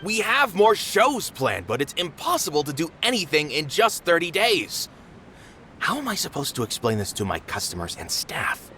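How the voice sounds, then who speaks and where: A male actor playing an earnest, protective theater manager in a game, plain-spoken and expressive. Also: fairly high pitch, moderate pace, slight background noise, full character voice. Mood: protesting. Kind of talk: English game dub voice acting.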